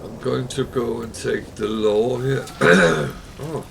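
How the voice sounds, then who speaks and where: A slow-talking man gives casual commentary on his game, his husky, crackling voice low and gravelly. He muses aloud, stopping on plenty of fillers. Steady hiss sits under the noisy stream recording. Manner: unhurried, thinking aloud with many fillers.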